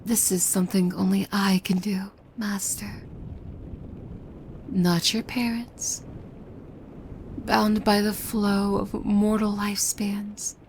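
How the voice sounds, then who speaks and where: A woman playing a possessive demon maid in an ASMR roleplay, devoted and softly menacing, with hushed formal speech that often slips into breathy whispers. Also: mid-range voice, level tone, slow pace, pauses often, a little hiss or hum. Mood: possessive, intimate.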